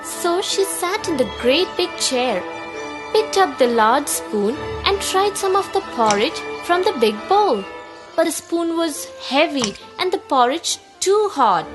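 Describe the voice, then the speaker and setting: Female narrator for children's fairy tales; high voice, very lively intonation, deliberate expressive delivery. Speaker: storyteller.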